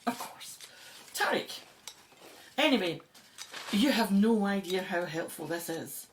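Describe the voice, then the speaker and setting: A woman's voice: medium pitch, lively intonation, takes it slowly, slight background noise. A woman playing an older, gracious, commanding in a radio drama. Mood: gracious, keen. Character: seasoned woman Egyptologist, gracious, commanding team leader.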